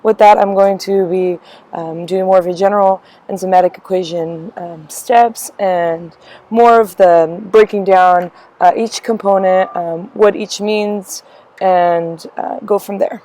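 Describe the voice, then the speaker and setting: A woman's voice: medium pitch, fairly even intonation, measured pace, dark tone, rough recording. A young woman who is losing her voice, giving a class presentation with frequent ums and a loose, rambling delivery. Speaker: student presenter.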